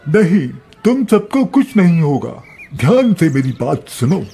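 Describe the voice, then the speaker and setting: A man playing old village characters, a beggar and a holy man, with a solemn, drawn-out way of talking in a horror story. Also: fairly high pitch, very animated, gravelly, warm tone, breathy edge, heavily acted.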